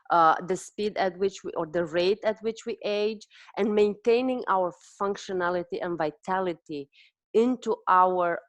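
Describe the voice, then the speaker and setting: Interview host: woman, 40s, Romanian accent, lower-pitched voice, thoughtful and halting delivery, dry recording. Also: no echo. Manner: thoughtful, searching for words with frequent restarts. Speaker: host, dietitian. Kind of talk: conversational interview.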